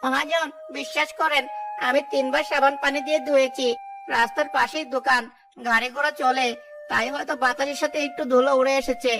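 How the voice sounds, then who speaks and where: A man's voice: very high voice, little pitch movement, a little fast. A man voicing a meek, deferential shop servant in a cartoon, apologetically explaining himself. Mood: meek, apologetic. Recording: clear background music throughout.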